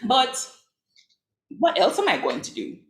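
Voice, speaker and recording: A woman's voice: fairly high pitch, huge pitch swings, unhurried, a bit bright. A woman from Jamaica, an artist speaking on a panel, talking casually with many fillers and frequent laughs. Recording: echoey room with faint noise.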